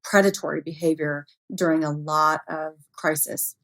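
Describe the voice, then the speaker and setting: Low-pitched woman explaining as an expert guest. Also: steady tone, moderate pace, a bit bright. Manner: explains with everyday examples and hypotheticals. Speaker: interview guest, expert on human error in cybersecurity. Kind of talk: conversational expert explanation in an interview.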